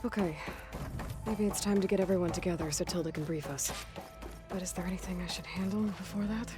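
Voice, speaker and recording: A woman's voice: fairly low pitch, brisk, over music, lightly acted. A woman voicing a guarded, determined young female warrior in a video game, with dry, sardonic delivery. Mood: measured.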